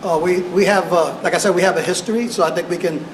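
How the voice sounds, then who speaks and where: Male official, press briefing. Measured, halting, repetitive phrasing; roomy echo, noisy recording. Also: sits high, fairly even intonation. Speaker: police official giving press conference. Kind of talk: press conference answers. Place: indoor press conference room. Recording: echoey noisy press conference room.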